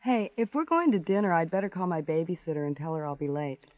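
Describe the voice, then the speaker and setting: A worldly American woman in a radio drama, frank and businesslike. Her voice is on the lower side and sounds dry and close to the listener. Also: expressive, fast talker, a little mellow, dry recording. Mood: casual, knowing. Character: frank, worldly woman who charges for company. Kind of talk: naturalistic radio drama acting.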